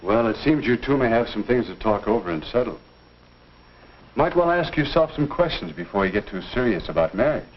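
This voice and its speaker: A genial, avuncular minister, played by a male actor gives earnest counsel in the manner of a 1950s instructional film. His mid-pitched voice rises and falls expressively, with measured, folksy phrasing. The soundtrack is dull and dated. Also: expressive, a little hiss or hum, lightly acted. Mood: earnest, advising.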